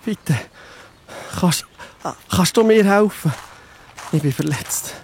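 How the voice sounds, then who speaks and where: High-pitched wounded traveller, Swiss German. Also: male voice, animated, darkish tone, slight background noise, heavily acted. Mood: desperate pleading. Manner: halting, groaning, stammering. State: in pain. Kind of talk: children's audio drama.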